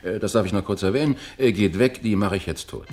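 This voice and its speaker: A man's voice: lowish voice, fast talker, over loud music, natural voice. An actor playing an insistent male narrator of grim events, interjecting in an experimental radio play.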